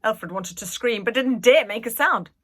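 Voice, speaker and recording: A woman reading a children's story aloud in an expressive, animated and dramatic manner. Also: a little high, moderate pace, breathy edge. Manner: animated, dramatic, with whispered suspense. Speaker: reader, school staff member.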